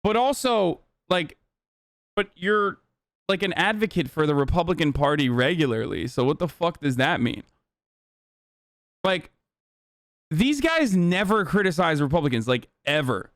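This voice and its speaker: A man's voice: a little high, animated, a bit bright, no room sound. A man whose first language is Turkish, ranting heatedly and profanely while reacting on a live stream. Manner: profane, heated, rapid rants. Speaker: Twitch streamer.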